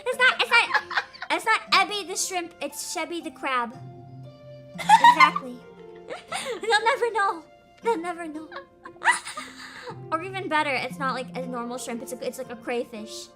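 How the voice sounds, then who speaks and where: High-voiced giggly woman streamer. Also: measured pace, pauses often. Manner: giggly, laughs often, animated storytelling. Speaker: VTuber streamer host. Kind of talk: casual chat stream. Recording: music playing behind.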